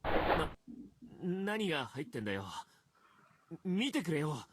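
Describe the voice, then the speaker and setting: A man's voice: on the high side, very animated, slow pace. An actor playing a male character in a Japanese horror video game. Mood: uneasy.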